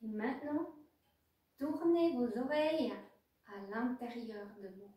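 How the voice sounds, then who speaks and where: Very slow, high-voiced woman guiding meditation. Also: expressive, mellow. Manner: slow, pausing instructions in short phrases. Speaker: yoga nidra teacher. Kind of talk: guided meditation. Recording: very echoey room, distant microphone.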